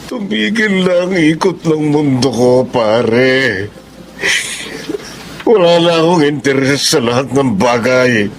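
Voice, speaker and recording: An actor playing a grieving, despairing older widower in a Filipino radio drama, speaking slowly and heavily with a Filipino accent. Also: male voice, medium pitch, slight background noise. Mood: anguished, despairing. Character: grieving, despairing suicidal widowed old man. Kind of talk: Tagalog radio drama acting.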